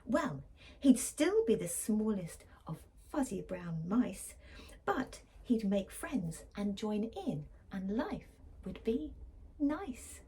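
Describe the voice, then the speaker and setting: A woman voicing a timid, tiny male mouse in a storybook, speaking in hushed, wishful tones. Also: big pitch swings, slow, full character voice. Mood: hopeful. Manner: hushed, wishful, high and breathy.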